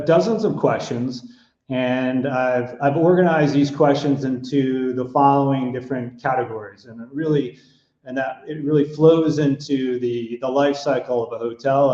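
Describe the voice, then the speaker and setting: A man's voice: medium pitch, mellow, roomy sound, muffled recording. A middle-aged man with an American accent hosting an online webinar, talking with many fillers and restarts. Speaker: webinar host and panel moderator.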